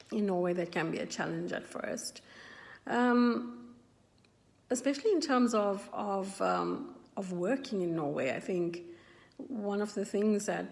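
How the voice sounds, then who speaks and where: A woman's voice: medium pitch, a little mellow. Woman, 40s, South African accent, thoughtful, hesitant restarts, reverberant hall. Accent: South African English. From South Africa, KwaZulu-Natal. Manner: thoughtful, with frequent ums and restarts. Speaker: interviewee. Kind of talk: personal account to camera.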